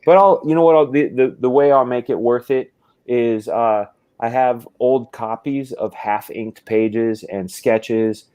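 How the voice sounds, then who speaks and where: A man's voice: medium pitch, a little slow, clean tone, highs cut off. A man talking as a guest artist in a casual video-call chat, explaining things at length with many restarts.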